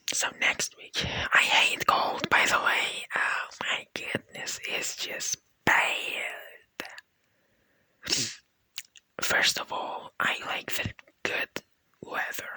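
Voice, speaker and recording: Young non-native English speaker, slow soft whisper, crisp and bright, dry close mic, rambling diary tone. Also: dry recording. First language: not English; Persian is a second language. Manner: soft unvoiced whisper, rambling and laid-back with many fillers. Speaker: university student, vlogger. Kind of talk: whispered ASMR diary vlog.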